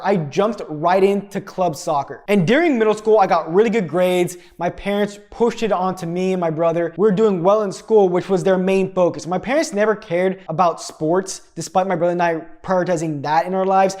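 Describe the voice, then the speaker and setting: Higher-voiced young man telling his story. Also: darkish tone. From United States. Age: 20s. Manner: blunt, candid storytelling. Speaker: fitness influencer. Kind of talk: scripted personal story narration.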